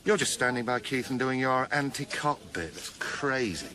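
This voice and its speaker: An actor playing an earnest police detective, persuasive and blunt, in a naturalistic radio drama. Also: male voice, mid-range voice, animated, moderate pace, sharp tone. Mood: urgent, grim. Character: earnest, urgent police detective, charming with women. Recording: noisy ambient background.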